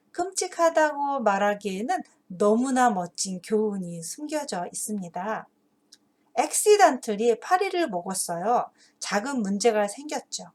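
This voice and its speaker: A woman with a fairly high voice speaks as a teacher of stories and faith. She sets out the lesson earnestly, phrase by phrase, in a deliberate storytelling way meant for parents. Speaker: host and teacher of an English Bible story channel for parents. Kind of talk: explanatory storytelling with moral and devotional teaching.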